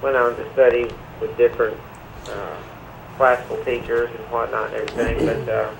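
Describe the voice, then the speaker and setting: A man from Middle Tennessee, talking about his music in a relaxed, casual way with many pauses and "uh" fillers. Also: medium pitch, gravelly, a little hiss or hum, poor audio. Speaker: musician guest. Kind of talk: casual interview answers. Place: remote link to a TV studio.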